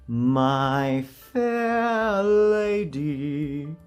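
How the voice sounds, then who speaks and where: A man's voice: fairly high pitch, animated, extremely slow, clean tone, soft background music, voice pushed up. A man voicing a polite, suave anime butler, speaking formally and deferentially to his master. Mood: courteous, suave.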